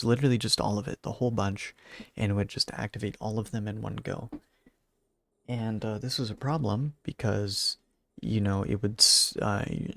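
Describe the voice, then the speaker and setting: A man commentating his gameplay in a casual, rambling way, speaking quietly late at night with a raspy, slightly congested voice. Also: fairly low pitch, no echo. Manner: quiet, rambling with fillers and restarts. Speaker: gaming YouTuber.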